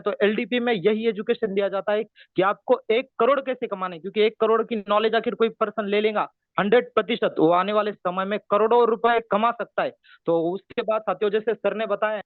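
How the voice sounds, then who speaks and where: High-pitched man on a tinny phone line. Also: dry recording. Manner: enthusiastic, repetitive, keeps addressing the listeners as friends. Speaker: team leader. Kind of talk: speech on a video call.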